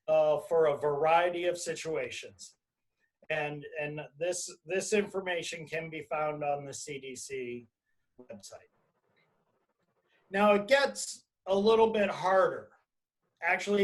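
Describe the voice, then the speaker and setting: A man giving an explanatory webinar presentation as an attorney, speaking deliberately and emphatically in short phrases over a video call. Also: sits high, rough recording. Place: indoors, on a video conference call.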